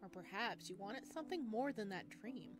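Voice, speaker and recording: A woman reading a visual novel aloud as a let's player, expressive, with casual chatty reactions. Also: medium pitch, moderate pace, over music. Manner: expressive, exclaims and chats between read passages. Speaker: video game let's player.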